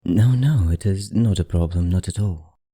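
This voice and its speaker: A man playing a courteous, teasing, ancient vampire librarian in an ASMR roleplay, speaking genteelly with a put-on accent in a low, husky voice. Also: moderate pace, no echo, clean studio sound. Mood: welcoming, courteous. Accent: put-on, not his own. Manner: genteel, old-fashioned, softly teasing. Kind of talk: ASMR roleplay, addressing the listener.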